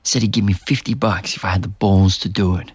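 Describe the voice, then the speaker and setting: An actor playing a troubled, self-doubting young man, husky and gravelly, speaking in a casual, self-deprecating way in a naturalistic audio drama. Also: low-pitched, fairly even intonation, fairly quick, no echo. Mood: wry, bitter. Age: young adult.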